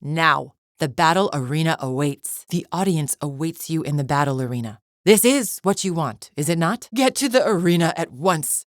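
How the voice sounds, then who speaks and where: Very deep-voiced haughty warrior champion. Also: female voice, expressive, moderate pace, few pauses, no room sound, studio quality. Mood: cold contempt. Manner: cold, formal, imperious, sneering diction. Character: haughty, contemptuous alien female warrior champion. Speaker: video game voice actor. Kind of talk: scripted video game character acting.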